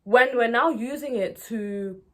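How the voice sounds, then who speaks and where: A woman's voice: fairly high pitch, unhurried. A young woman in her twenties from Nigeria, speaking candidly and expressively to her viewers in a conversational vlog style. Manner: conversational and expressive, often checking in with "you know". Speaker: video blogger. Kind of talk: candid personal talk to viewers.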